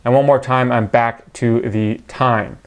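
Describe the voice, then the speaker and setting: A man's voice: mid-range voice, steady tone, unhurried. A man with a mid-range voice gives an informal product walkthrough. He speaks in a conversational, matter-of-fact way, explaining as he goes. The delivery is unscripted and plain. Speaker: product reviewer. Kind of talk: casual unscripted product review narration.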